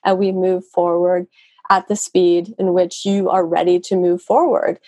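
A woman's voice: on the low side, steady tone, measured pace, a little mellow, no echo. A woman, guest on a podcast interview over a video call, talking conversationally with fillers and restarts. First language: English. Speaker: guest, nutrition consultant.

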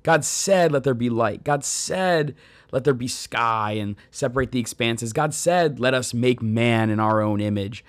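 A man's voice: mid-range voice, a little slow, no room sound. A man in his thirties giving an earnest, conversational devotional talk on scripture, speaking straight to listeners and telling personal stories. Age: 30s. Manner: addresses listeners directly.

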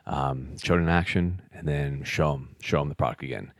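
A man who reviews firearms, talking in an animated, casual chat, swearing and walking through things step by step. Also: very deep voice, fairly quick, no room sound, studio quality. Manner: animated, swears, says "dude", explains step by step. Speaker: gun reviewer.

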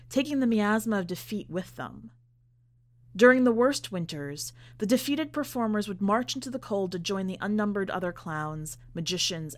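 A woman reads a literary short story aloud at a mid-range pitch. Her delivery is measured and deliberate, and she leaves dramatic pauses between phrases. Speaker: podcast host and story reader.

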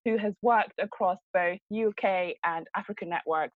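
A woman's voice: medium pitch, normal speed, no echo. A woman from Congo, raised in the UK, co-hosting a podcast with upbeat introductions and friendly questions. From Congo, moved to UK at seven. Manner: upbeat, reads guest intro then chats. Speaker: podcast co-host. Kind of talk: casual podcast interview. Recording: dull, lo-fi.

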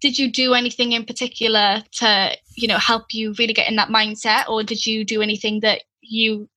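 Bright young Welsh woman. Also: fairly high pitch, little pitch movement, a little fast. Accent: Llanelli Welsh. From Wales. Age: young adult. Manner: chatty, enthusiastic. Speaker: interviewer. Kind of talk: casual chat.

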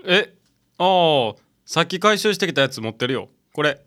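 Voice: medium pitch, expressive, normal speed, a bit bright, a little hiss or hum, natural voice. ラジオドラマで、役者が関西弁のざっくばらんで気のいい若い男性の寿司屋店員を演じている。. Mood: casual. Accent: Kansai. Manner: blunt, casual. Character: casual, good-natured young male sushi-shop part-timer. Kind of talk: community radio drama acting.

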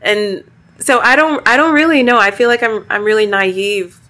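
Young female guest, high and bright-toned, chatty, quick to laugh. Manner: chatty, laughs often, trails off. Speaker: podcast guest. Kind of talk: casual podcast conversation.

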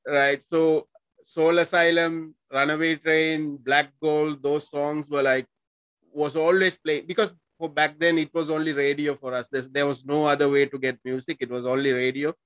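Smooth-voiced host from Sri Lanka. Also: male voice, on the high side, little pitch movement, moderate pace, a bit bright, no echo. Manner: friendly, frequent short agreements. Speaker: podcast host. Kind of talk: casual interview.

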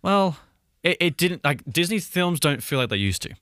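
Young guy cohosting a podcast, bit chesty from a cold, bright voice, dry close sound, laughs a lot. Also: on the high side, very animated, normal speed, no echo. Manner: chatty, laughs often. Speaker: podcast co-host. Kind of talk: casual chat.

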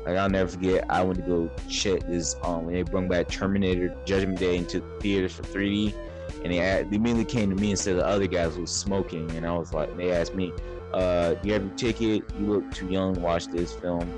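A man's voice: deep voice, flat delivery, a little fast, over music. A young man hosting a podcast, chatting casually and rambling, often restarting his sentences. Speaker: podcast host. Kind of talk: casual chat.

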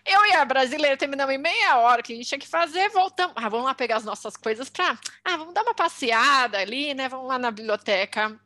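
A woman's voice: high voice, animated, quick pace, few pauses, pushed and bright, no echo. A Brazilian woman in her twenties with a Brazilian Portuguese accent, co-hosting a podcast and telling a story in a chatty way, laughing often. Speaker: podcast co-host. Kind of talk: casual chat, storytelling.

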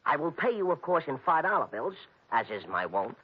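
A man's voice: a little high, sing-song, a little fast, slightly rough. An actor playing a flashy small-time American gambler in 1950s radio style, a man with a pompous, over-formal way with slang. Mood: businesslike, pompous. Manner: stilted, over-formal underworld slang without contractions. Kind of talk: 1950s radio comedy-detective acting. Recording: dull, muffled vintage radio recording.

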